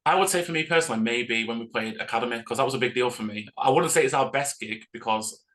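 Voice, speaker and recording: A man's voice: medium pitch, quick pace, a bit bright. A man, a band vocalist chatting informally and self-deprecatingly in an interview. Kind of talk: casual chat.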